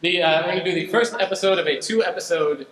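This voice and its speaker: A man's voice: high voice, fast. A man hosting an improv show on stage in a casual, chatty way. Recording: echoey stage, loud background noise.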